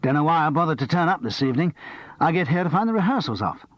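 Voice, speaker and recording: A man voicing a vain, theatrical young male actor who talks with arrogant self-importance, husky and a bit muffled. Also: on the high side, very animated, fast talker, a bit bright, no echo, voice pushed up. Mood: condescending.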